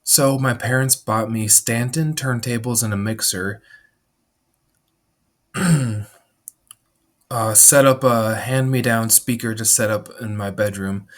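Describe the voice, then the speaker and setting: A man in his thirties from Minnesota, reading his own life story aloud at a measured pace and clearing his throat now and then. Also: medium pitch. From Minnesota, USA. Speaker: memoir narrator. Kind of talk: reading a personal memoir aloud.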